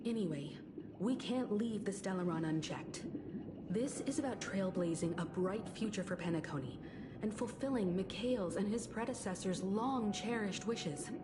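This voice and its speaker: A woman voicing a composed, thoughtful and resolute ally in a video game, calm and deliberate. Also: medium pitch, background noise, close to an everyday voice. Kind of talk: video game story voice acting.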